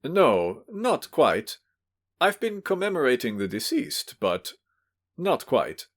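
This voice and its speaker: A man doing a tipsy, fawning gentleman. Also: a little high, huge pitch swings, slow, voice pushed up, heavily acted. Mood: flustered. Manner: tipsy, pleading, effusive. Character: drunk, fawning, sentimental middle-aged man.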